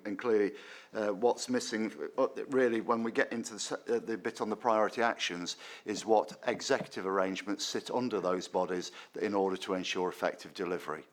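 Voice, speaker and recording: A man at a committee meeting who talks in a halting way and stumbles over his words. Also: on the low side.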